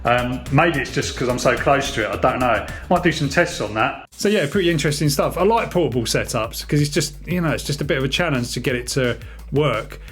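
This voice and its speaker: A man talks casually as a hobbyist video host, in a mid-range voice. His delivery is relaxed and matter-of-fact, like an informal demonstration. Faint music plays behind him. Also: medium pitch, fairly quick.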